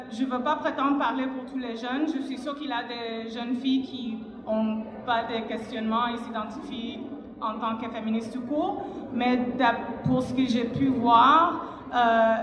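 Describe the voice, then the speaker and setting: A woman speaking as a panelist, giving reflective, spontaneous answers and often searching for words. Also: fairly high pitch. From Montreal resident of Afro-Cuban origin. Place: public panel in a hall, through a passed microphone. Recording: echoey reverberant hall, dull sound.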